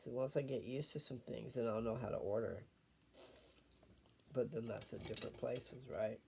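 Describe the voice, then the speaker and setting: An older man chatting casually and reading scripture at home, unhurried and wandering as he thinks aloud. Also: medium pitch, creaky, dark tone, a little hiss or hum.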